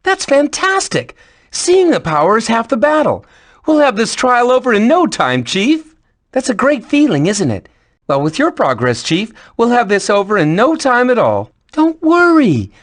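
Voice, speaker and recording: A woman's voice: fairly high pitch, huge pitch swings, moderate pace. A woman playing a cocky, flippant, bold spirit messenger in a fantasy game, with a sassy, theatrical delivery. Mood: upbeat, encouraging.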